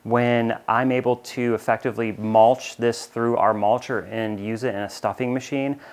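A man in his thirties with an American accent walks through a product explainer in a clear, steady, informative way. His voice sits at an ordinary middle pitch. He is recorded close on a lapel mic in a bright studio. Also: moderate pace. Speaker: company presenter. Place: bright studio with shelves of plush toys.

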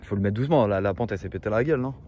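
A man's voice: fairly low pitch, racing, warm tone, slight background noise. A man off camera outdoors, explaining something step by step to a friend.

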